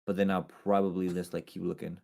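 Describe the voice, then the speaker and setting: A man's voice: low voice, level tone, quick pace, creaky, warm tone. A man co-hosting a casual podcast, easygoing, often saying dude and bro. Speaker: co-host.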